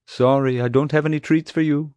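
A man's voice: mid-range voice, expressive, normal speed, no room sound, pitched above the performer's own voice, lightly acted. A man voicing a wry, defiant male animal-security consultant with a sly streak in an audiobook. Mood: relieved, gentle. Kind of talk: audiobook character dialogue.

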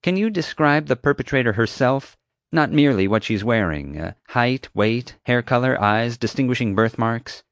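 Male narrator as easily annoyed dispatcher, audiobook character, clipped, official, questioning, higher pitch, dry sound. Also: lively intonation, normal speed, dry recording, close to an everyday voice. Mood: brisk. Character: curt, skeptical, easily annoyed emergency dispatcher.

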